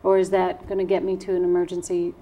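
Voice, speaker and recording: A woman's voice: fairly low pitch, monotone, fast talker, dark tone, slight background noise. A woman with an American accent hosting an interview, asking questions in a conversational way. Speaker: interviewer, host.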